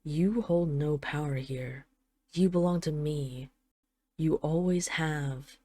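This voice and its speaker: Woman playing a dark-voiced, possessive dragon queen. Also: deep voice, slow, no room sound. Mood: stern, possessive. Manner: condescending, teasing, commanding. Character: possessive, teasing dragon queen, a domineering mistress. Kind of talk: intimate roleplay audio drama.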